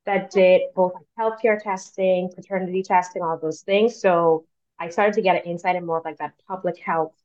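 A woman from the Bahamas, in her thirties, speaking as a career coach guest in a relaxed, thoughtful way with frequent fillers. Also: on the low side, normal speed. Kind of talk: casual interview talk. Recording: dull lo-fi sound.

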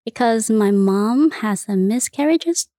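A woman in her thirties from Vietnam, speaking English as a second language with a Vietnamese accent, answering interview questions in a careful, halting way. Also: on the high side, lively intonation, dark tone, no echo, studio quality. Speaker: interviewee, nun.

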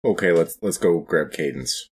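A man's voice: deep voice, moderate pace, breathy edge. A man playing a tabletop role-playing game, talking casually and a little hesitantly in character.

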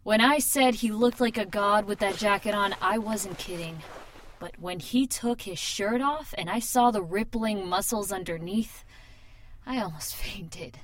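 A woman's voice: mid-range voice, measured pace, close to an everyday voice. A woman playing a nervous young female tattoo artist in a radio drama, informal and self-conscious, both narrating and in dialogue. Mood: confiding, wry. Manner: informal, hesitant in dialogue, confiding when narrating. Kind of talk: radio drama acting with first-person narration.

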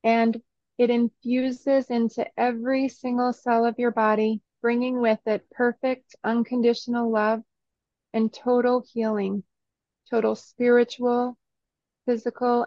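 A woman with a slightly high voice guides a meditation very slowly. She gives soothing instructions in short phrases and leaves long pauses between them. The recording is dry and a little dull. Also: dry recording.

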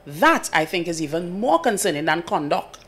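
Female breakfast radio co-host, animated and chatty. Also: fairly low pitch, moderate pace. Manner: chatty, laughs often, lively intonation. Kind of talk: casual studio banter. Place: radio studio.

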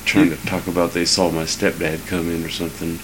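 A deep-voiced man from Southern California co-hosting a casual podcast, rambling and swearing freely with lots of restarts. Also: little pitch movement, brisk. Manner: rambling and profane, with many restarts. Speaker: podcast co-host. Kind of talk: casual chat. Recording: noisy home podcast recording.

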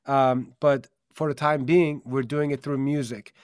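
A man's voice: mid-range voice, fairly even intonation, a little slow, a little mellow, no room sound, studio quality. A man born in Germany and raised in America, hosting a live stream in an upbeat, earnest way with many fillers, over a video call. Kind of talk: casual promotional live-stream talk.

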